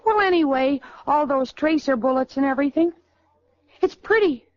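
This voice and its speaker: A wide-eyed young American sweetheart with a high, girlish voice. She is naive and tender in an old radio drama with dulled sound. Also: animated, moderate pace. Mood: naive wonder. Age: young adult. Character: naive, soft-hearted young sweetheart of a sailor. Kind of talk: 1940s radio drama acting. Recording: muffled vintage 1940s radio.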